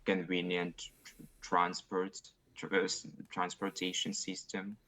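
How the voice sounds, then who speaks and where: A man's voice: deep voice, monotone, a little slow, sharp tone. A young man with a Russian accent, answering test questions hesitantly with many fillers and separated syllables. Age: young adult. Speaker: test candidate. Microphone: video call.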